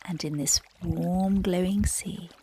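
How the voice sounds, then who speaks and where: A woman guiding children through a relaxation and bedtime story in a gentle, soothing, breathy voice that often drops close to a whisper. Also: fairly low pitch, fairly even intonation, slow pace, slight background noise. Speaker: meditation guide and bedtime storyteller.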